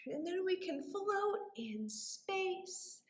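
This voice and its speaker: A woman leading story time for young children as a librarian, with animated wonder and a sing-song, encouraging way of talking. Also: very high-pitched, very slow. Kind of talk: children's story time with rhymes, questions and songs. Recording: echoey room.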